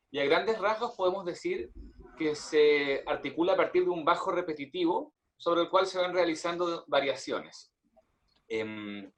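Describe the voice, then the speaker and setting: Didactic man, slightly high voice. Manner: orderly, didactic. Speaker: music commentator. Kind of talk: explanatory music commentary.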